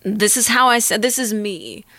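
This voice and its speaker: A young woman with a Northern Californian accent, talking candidly as an interview guest. Her voice is bright and forward. She thinks aloud in an earnest, reflective way, circling back and restarting her sentences. The recording is close and dry. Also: medium pitch, moderate pace, dry recording, rough recording. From Northern California, United States. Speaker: interview guest, actor and musician.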